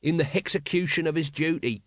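A man voicing a scruffy, sulky male watchman with rough, working-class speech. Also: fairly high pitch, fairly quick, dry recording, clean studio sound, voice pushed up, natural voice.

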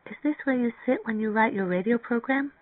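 A man reading a story, voicing an eager, naive young woman who is excitable and full of curiosity. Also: very high-pitched, brisk, no room sound, narrow, tinny audio, voice pushed up. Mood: awed. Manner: excitable, inquisitive.

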